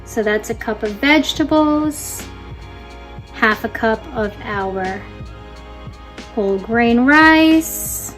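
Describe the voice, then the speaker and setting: A woman's voice: on the high side, slow, long pauses, fairly bright tone, soft background music. A young Filipino-American woman, a native Tagalog speaker, cheerfully talking viewers through a cooking demonstration step by step. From Philippines. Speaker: cooking channel host.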